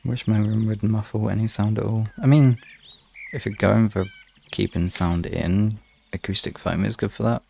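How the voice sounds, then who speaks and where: A man with a gravelly, husky voice chatting casually to live-stream listeners in an informal, halting way with some swearing. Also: fairly low pitch, fairly even intonation, mellow.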